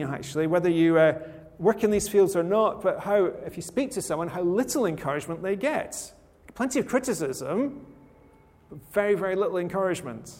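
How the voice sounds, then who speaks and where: A man from rural Scotland gives a sermon in a high voice, easygoing and conversational, with gentle humor. His voice rings out with a long reverb in a large hall. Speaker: preacher.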